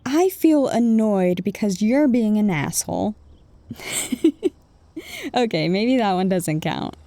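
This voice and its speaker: A woman acts out a bickering but affectionate girlfriend in an intimate audio drama. Her voice is mid-pitched and mellow, with slow, conversational delivery and a sarcastic, defensive edge. The recording is very dry and close. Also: lively intonation, dry recording. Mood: teasing, annoyed. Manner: conversational, exasperated, sarcastic, addressing an unheard partner. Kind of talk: intimate audio roleplay acting.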